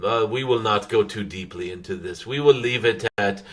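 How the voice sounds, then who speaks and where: A man channeling a wise, benevolent dragon spirit in a spiritual message, speaking in formal, measured phrases broken by thoughtful hums. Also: lowish voice, close to an everyday voice. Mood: calm, instructive. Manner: formal, archaic-tinged phrasing. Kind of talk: channeled spiritual message, slow unscripted address to listeners.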